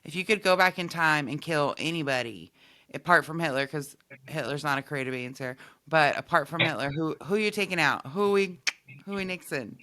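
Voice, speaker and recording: A woman's voice: low-pitched, moderate pace, bright, forceful tone. A woman hosting a podcast in casual comedic chat, with a playful, jokey manner and a readiness to laugh.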